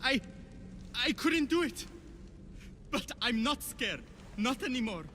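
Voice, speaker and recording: Desperate young lackey, very high bright voice, pleading, defiant, insistent, video game drama. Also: male voice, lively intonation, slow, long reverb tail, a little hiss or hum, exaggerated voice. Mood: desperate defiance.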